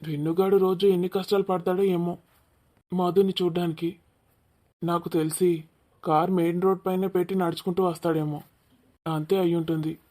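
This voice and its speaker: Man voicing a flat-toned polite young man. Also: high voice, moderate pace, long pauses, lightly acted. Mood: mild, pondering. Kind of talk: cartoon comedy dialogue.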